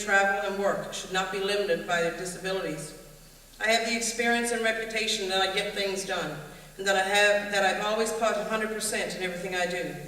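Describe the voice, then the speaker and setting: A woman, an election candidate, reading a prepared debate statement in a formal, measured way. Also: mid-range voice, a bit bright. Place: large events hall. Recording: echoey hall, voice far from mic.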